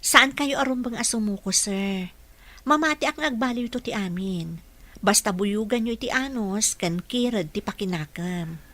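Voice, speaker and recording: A woman's voice: medium pitch, normal speed, a bit bright, no echo, natural voice. A Filipino woman playing an earnest, patient single mother who works as a caregiver in a radio drama. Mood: encouraging.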